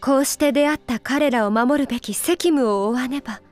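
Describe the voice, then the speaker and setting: A high, youthful female voice from an actress as a dignified game princess. She is earnest and composed, with polite, formal phrasing. Soft music plays underneath. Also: moderate pace, lightly acted. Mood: dignified, resolute. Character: earnest, dignified young princess leading on the front line. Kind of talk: Japanese video game voice acting.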